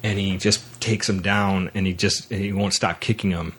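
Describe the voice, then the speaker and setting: A man hosting a podcast, talking through a film in a casual, rambling, halting way. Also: low-pitched, fairly even intonation, a bit bright, breathy edge. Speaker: podcast host.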